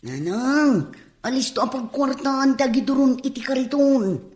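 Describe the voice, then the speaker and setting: A woman's voice: high-pitched, animated, measured pace, darkish tone, reverberant. A woman with an Ilocano Filipino accent playing an earnest young character pleading with a mother in a radio drama. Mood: insistent.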